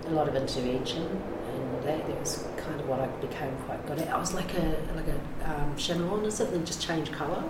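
A woman's voice: deep voice, brisk, few pauses. A middle-aged woman, talking frankly about addiction recovery in an interview, full of fillers and restarts. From New Zealand. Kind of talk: candid interview talk. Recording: echoey room with background noise.

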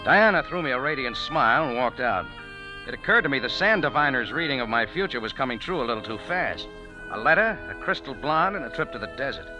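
An actor playing a wisecracking American cafe owner who narrates with a dry, hardboiled manner in a 1940s radio drama. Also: male voice, medium pitch, very animated, fast talker, natural voice, low-quality recording. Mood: narrating. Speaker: narrator and lead. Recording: old radio broadcast with music.

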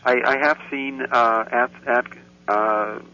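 Flat, bright-toned male interview guest. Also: medium pitch, slow. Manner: hesitant, with many fillers and restarts. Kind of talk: interview answers. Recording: muffled line with faint noise.

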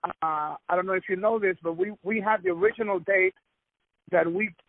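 A man's voice: sits high, creaky. A man from Ecuador, a native Spanish speaker, speaking proudly and steadily as a wrestling promoter in a phone interview. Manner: proud, measured talker. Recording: tinny phone line.

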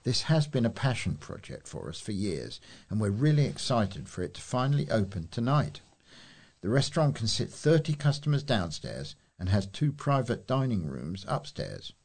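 A man reading local news aloud, a little halting over names. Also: fairly low pitch, very animated, a little mellow, breathy edge. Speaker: volunteer news reader.

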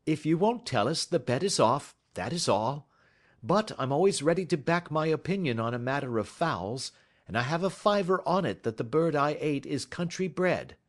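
A man voicing a shrewd, calm detective who speaks in a measured, offhand way in an audiobook story. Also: fairly high pitch, voice pushed up, lightly acted. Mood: cool. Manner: calm, measured, careless-seeming. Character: cool, shrewd male detective, middle-aged.

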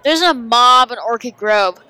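A woman's voice: a little high, animated, unhurried, pushed and bright, clear, resonant tone, dry recording. A woman voicing a teenage boy who scoffs at his friend. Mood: scoffing.

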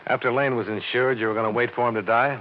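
An actor playing a tough, matter-of-fact investigator who narrates a 1950s radio drama in a clipped, dry way. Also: male voice, medium pitch, fairly even intonation, fast talker, lightly acted. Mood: probing, firm. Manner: clipped, dry, hard-boiled. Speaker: lead detective and narrator. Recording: muffled, hissy 1950s radio recording.

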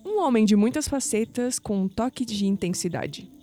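Woman acting coy young Brazilian woman, mellow voice. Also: on the high side, expressive, moderate pace, close to an everyday voice. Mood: playful, intrigued. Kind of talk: audio drama acting.

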